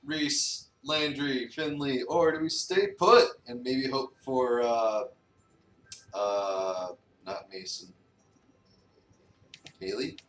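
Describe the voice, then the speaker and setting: A man's voice: medium pitch, extremely slow, long pauses, fairly bright tone, a little hiss or hum. A man streaming a visual novel with a co-host, chatting casually between stretches of reading aloud. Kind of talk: casual chat and dramatic reading. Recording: echoey room, distant mic.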